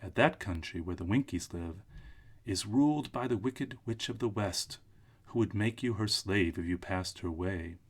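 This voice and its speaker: A man's voice: fairly low pitch, moderate pace, slightly rough. A man voicing a solemn, helpful little Munchkin man giving grave information in a storybook read-aloud. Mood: warning.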